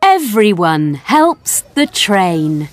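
A woman narrating a simple storybook for small children, reading slowly and clearly in a sing-song way. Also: mid-range voice, very animated, slight background noise.

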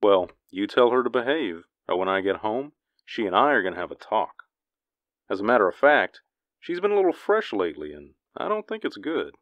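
A man's voice: on the low side, big pitch swings, a little fast, dry recording, highs cut off. A man playing a concerned, firm husband and father in a radio drama.

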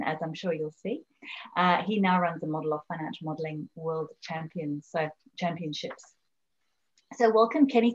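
A woman's voice: on the low side, dull audio. A woman hosting an online meetup, introducing a guest in a chatty way with fillers. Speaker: meetup host. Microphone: video call.

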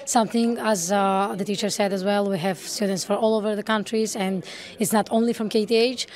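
A woman student from Greece, answering interview questions in an earnest, steady way. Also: medium pitch, brisk.

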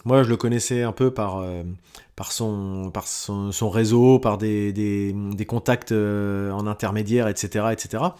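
Halting man with many fillers. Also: on the low side, steady tone, mellow, smooth. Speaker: podcast host. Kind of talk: weekly audio diary monologue.

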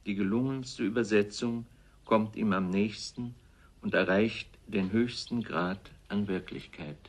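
A man who is a writer, formally delivering a measured statement on language. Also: fairly low pitch. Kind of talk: measured formal reading of a speech.

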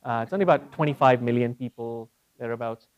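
A man's voice: mid-range voice, quick pace, rough recording. A man giving a conversational, articulate lecture as a guest academic speaker. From India (Bombay). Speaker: guest speaker, university psychology professor. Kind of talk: conference lecture with personal storytelling. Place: university classroom, speaking to a small seated audience.

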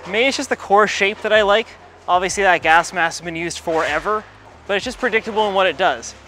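A man's voice: high voice, brisk, sharp tone, slight background noise. A man in his thirties gives a casual bowling ball review in a large bowling alley, muttering play-by-play between throws. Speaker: pro shop ball reviewer.

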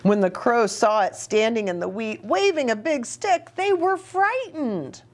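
A middle-aged woman reading a picture book aloud to young children, animated, with chatty asides and questions for her listeners. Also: fairly high pitch, a little slow. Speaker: children's ministry storytime host. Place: small indoor reading nook with bookshelf and curtains.

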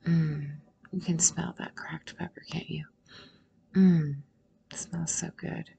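A woman with a lowish voice leads a guided meditation. She speaks very slowly and soothingly, leaving long pauses. Heavy creak runs through her voice, and phrases often soften into a breathy near-whisper. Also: steady tone.

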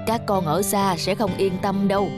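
A woman's voice: medium pitch, fairly even intonation, moderate pace, music in the background, natural voice. A woman voicing a caring old grandmother in a cartoon, mildly chiding and concerned. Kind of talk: cartoon dub acting.

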